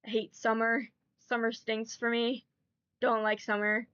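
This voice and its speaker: A woman's voice: fairly high pitch, flat delivery, measured pace, a bit bright, clear, resonant tone, muffled recording. A woman streamer with a stuffy nose from allergies, chatting casually and rambling to her chat. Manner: rambling, chatty, repeats herself.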